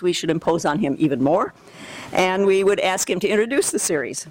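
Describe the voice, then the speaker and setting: A woman introducing a lecture series, reading a formal, measured prepared introduction in a lecture hall. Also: fairly low pitch.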